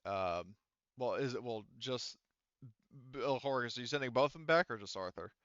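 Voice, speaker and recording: A man running a tabletop roleplaying game as game master, narrating and refereeing in a halting way with frequent restarts. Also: mid-range voice, animated, fairly bright tone, clean tone, no room sound, muffled recording. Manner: halting, hesitant, often trailing off and restarting.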